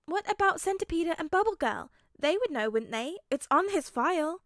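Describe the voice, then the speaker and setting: A woman's voice: very high-pitched, expressive, normal speed, fairly bright tone, dry recording, studio quality, pitched above the performer's own voice, exaggerated voice. A woman voicing an indignant young friend in a message chat, emphatic and full of questions. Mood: indignant, puzzled.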